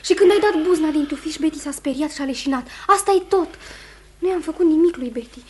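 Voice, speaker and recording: A woman's voice: high voice, a little mellow, slight background noise, close to an everyday voice. An actress playing a young Puritan niece in a radio drama, deferential but insistent as she protests her innocence. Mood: defensive. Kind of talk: theatrical radio drama acting.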